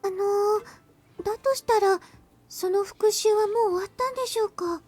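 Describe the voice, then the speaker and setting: A woman's voice: very high voice, quick pace, darkish tone, soft background music. An actress playing a timid, polite young girl in a Japanese visual novel, asking hesitantly. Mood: timid, worried.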